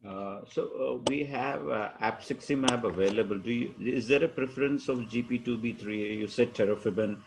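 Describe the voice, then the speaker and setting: A man co-hosting an online panel over a video call, speaking haltingly with many fillers. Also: medium pitch, creaky, noisy background. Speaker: co-host. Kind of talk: moderating an online session.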